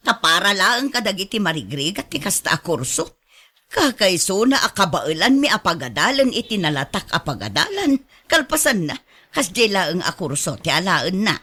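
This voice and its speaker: Woman acting condescending village mother, showy, animated intonation, medium pitch, haughty, radio drama. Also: breathy edge, exaggerated voice.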